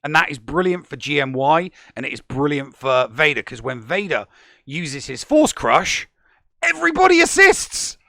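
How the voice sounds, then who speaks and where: Man, gaming podcast co-host, gravelly bright voice, animated casual chat, dry close mic. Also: a little high, normal speed, no echo. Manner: animated, stumbling restarts when excited.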